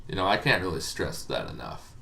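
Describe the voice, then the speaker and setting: Man, low-pitched voice, casual game explainer, hesitant, thinking aloud. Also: very animated, a little fast, gravelly, pushed and bright, a little hiss or hum. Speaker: host explaining tabletop game monsters.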